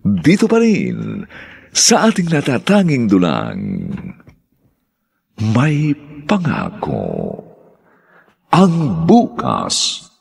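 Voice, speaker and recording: A man hosting a radio drama, reading scripted narration in a formal, dramatic and deliberate way. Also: mid-range voice, wildly swooping pitch, creaky, husky, no echo.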